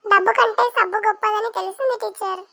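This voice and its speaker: Squeaky chirpy cartoon voice, very high, cheeky schoolboy tone. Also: fairly even intonation, fast, creaky, background noise. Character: cheeky schoolboy answering the teacher. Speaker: channel host and cartoon voice. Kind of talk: cartoon joke sketches.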